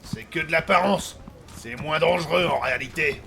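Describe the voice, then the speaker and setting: A gruff, brawling pirate captain, in a comic fantasy audio play. The voice is very gravelly, somewhat high for a man and cuts through sharply. He is brash and slangy, quick to insult. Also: sharp tone. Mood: gruff, boastful. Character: gruff, foul-mouthed, brawling drunken male pirate captain.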